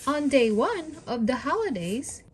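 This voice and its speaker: Female storybook reader, slightly high voice, unhurried pace, expressive and encouraging delivery aimed at small children. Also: very noisy. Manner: slow, deliberate, encouraging. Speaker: children's storybook read-aloud host. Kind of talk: expressive read-aloud narration for young children.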